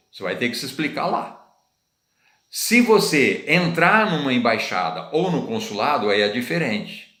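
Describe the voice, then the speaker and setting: Brazilian male preacher, middle-aged, mid-pitched, casual teaching sermon with rhetorical questions, roomy echo. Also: a bit bright. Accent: Brazilian Portuguese. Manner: conversational, repeats phrases, asks listeners rhetorical questions.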